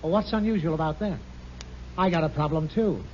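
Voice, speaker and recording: A man's voice: on the high side, a little fast, a little mellow, a little hiss or hum, lightly acted. An actor playing a pompous, sarcastic middle-aged male boss who brags theatrically, in a 1950s radio sitcom. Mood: bluster. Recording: muffled old radio broadcast.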